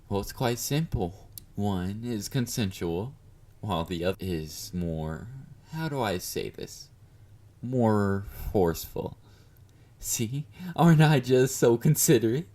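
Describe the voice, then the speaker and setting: Man acting a low-voiced, flirty yandere stalker. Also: mellow. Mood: smug, possessive. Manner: teasing, smug, mock-considerate, murmured endearments. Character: confident, flirty, obsessive male yandere stalker fan. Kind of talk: intimate audio roleplay addressed to the listener.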